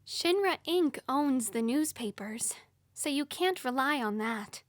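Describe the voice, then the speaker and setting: Woman acting a high-voiced, thoughtful young heroine. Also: animated, measured pace, no room sound, clean studio sound. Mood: puzzled. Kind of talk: dramatic fan dub acting.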